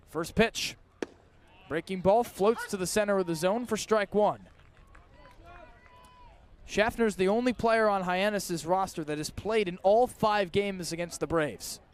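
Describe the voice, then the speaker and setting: High-pitched man calling baseball play-by-play. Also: clean tone. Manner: punchy clipped calls that build to drawn-out shouts on big plays. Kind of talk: live radio sports play-by-play commentary.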